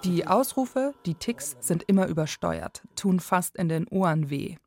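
A woman's voice: fairly low pitch, expressive, a little slow, a little mellow. A woman reporter reading scripted narration for a radio feature.

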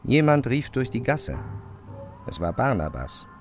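Narrator for literary radio drama: sober, composed man, medium pitch, distinct music bed. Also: very animated, unhurried. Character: sober, composed male storyteller.

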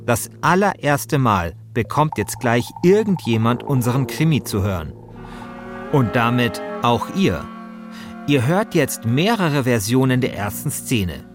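A man's voice: mid-range voice, very animated, unhurried, music in the background. A man hosting a podcast with scripted, conversational narration. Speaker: podcast host and co-author.

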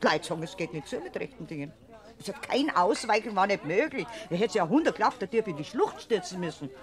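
A woman's voice: mid-range voice, very animated, quick pace, lightly acted. A woman playing a gossiping old Bavarian aunt. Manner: gossipy, scolding, earthy. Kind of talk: classic radio play acting.

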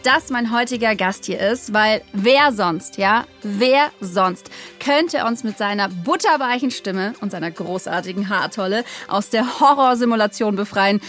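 An actress playing a gushing, playful young podcast host in a chatty studio interview within an audio drama. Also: female voice, mid-range voice, unhurried, hardly any pauses, fairly bright tone, faint music behind, close to an everyday voice.

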